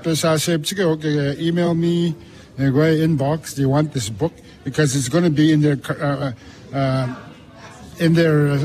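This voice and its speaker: A man whose first language is Cree, being interviewed as an author, speaking haltingly with many restarts. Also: on the high side, darkish tone. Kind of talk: casual interview talk.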